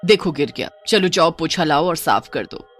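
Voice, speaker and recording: A woman's voice: deep voice, animated, normal speed, faint music behind. A woman voicing a bossy middle-aged mother-in-law in a horror story, scolding and giving orders. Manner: scolding, commanding.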